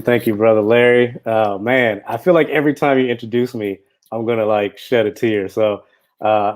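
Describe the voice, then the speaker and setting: Male film director, informal introduction, lowish pitch with little melodic movement. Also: a little mellow. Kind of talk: casual thank-you speech introducing own film.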